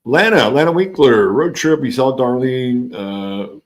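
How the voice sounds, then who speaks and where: A man's voice: medium pitch, a little slow. A man with a mixed California and East Coast accent hosting a casual livestream, chatting loosely with viewers and reading their comments. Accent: California and East Coast mix. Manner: loose and rambling, trails off, restarts, swears casually. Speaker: livestream host.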